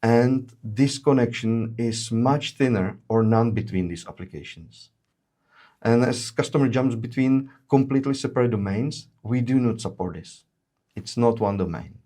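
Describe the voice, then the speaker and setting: A man's voice: fairly low pitch, warm tone. Male webinar presenter, methodical, filler-heavy. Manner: frequent "uh" fillers, measured explanatory delivery. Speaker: webinar presenter, solution engineer. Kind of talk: explaining product features in a webinar.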